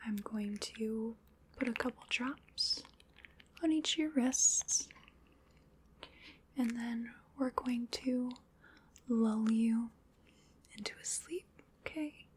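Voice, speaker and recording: A woman voicing a playful, chatty female vampire in a whispered ASMR roleplay, breathy, soothing and wryly polite as she dotes on her prey. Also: a little high, slow pace, long pauses, heavily acted.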